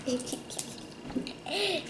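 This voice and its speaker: A woman's voice: high voice, expressive, drawn-out words, fairly bright tone. A woman chatting casually over a meal, teasing her co-host with short quips. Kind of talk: casual chat while eating. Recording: roomy with faint noise.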